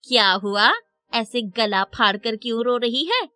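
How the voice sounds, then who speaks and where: A woman's voice: on the high side, unhurried, sharp tone. A woman voicing a heartless mother-in-law in a cartoon moral story, curt, scornful and bossy. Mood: scolding.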